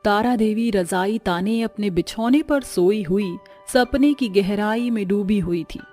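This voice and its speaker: A woman's voice: mid-range voice, a little slow, dark tone, faint music behind. A woman narrating a scripted cartoon story in a dramatic storytelling style.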